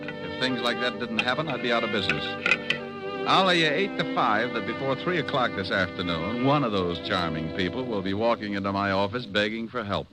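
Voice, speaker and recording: An actor playing a wisecracking, easygoing hard-boiled private detective in a 1950s radio drama, wry and slangy. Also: male voice, lowish voice, expressive, a little fast, over loud music, natural voice, poor audio.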